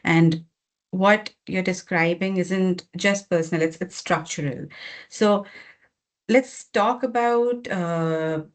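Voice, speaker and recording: A woman hosting a webinar interview, summarizing her guest's points in a measured, reflective way over a video call. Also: fairly low pitch. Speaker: webinar host.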